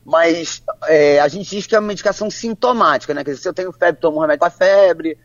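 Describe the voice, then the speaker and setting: A woman, a physician answering interview questions in a measured, plain way with frequent restarts. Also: on the low side, no room sound. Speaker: physician guest. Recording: dull, lo-fi, dry sound.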